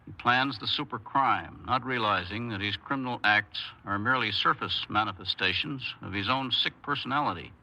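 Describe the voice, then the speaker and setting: A man's voice: fairly low pitch, moderate pace, few pauses, bright, forceful tone, poor audio. A man from the United States giving formal, solemn scripted radio commentary as a corrections official.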